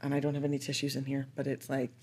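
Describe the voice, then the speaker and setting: A woman's voice: very deep voice, monotone, racing, a little mellow. A woman in her thirties, co-hosting a podcast in casual chat, sounding stuffy and sniffly from a cold, chatty and laughing at her own complaints. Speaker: podcast co-host.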